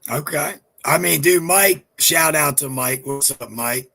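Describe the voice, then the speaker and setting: A man in his thirties with a deep, husky voice, a rapper chatting in a casual interview, rambling and swearing with lots of "you know, like.". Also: unhurried, sharp tone. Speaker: rapper guest.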